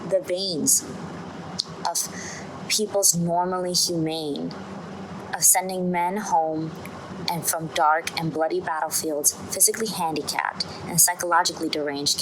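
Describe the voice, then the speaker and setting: A woman's voice: deep voice, fairly even intonation, a little slow. A woman reading a formal speech aloud as part of a performance. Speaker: reader in a student theatre performance. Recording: clear background noise, ambient sound.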